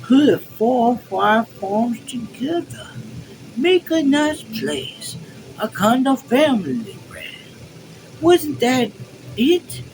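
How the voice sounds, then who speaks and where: A man's voice: very high voice, sing-song, slow pace, background noise, voice pushed up, full character voice. A man voicing a mocking soldier who mimics a comrade in a taunting sing-song.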